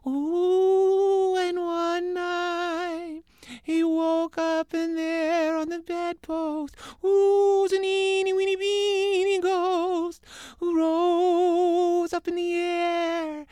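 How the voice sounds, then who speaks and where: A man voicing a small, playful ghost that wails with high, drawn-out moans. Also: monotone, a little mellow, no echo, studio quality, full character voice. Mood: spooky, teasing. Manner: high wavering drawn-out ooh sounds.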